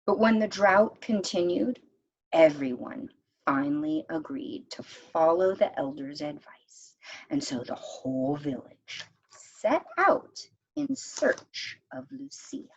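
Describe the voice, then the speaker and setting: A woman teacher reading a story aloud expressively, with deliberate dramatic pauses and hushed phrases. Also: lowish voice, sing-song, lo-fi sound, slight background noise.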